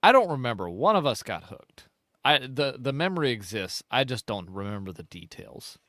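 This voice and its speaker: Brief: male co-host, animated rambling storyteller, dry close mic. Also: mid-range voice, moderate pace, no echo. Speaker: podcast co-host. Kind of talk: casual chat.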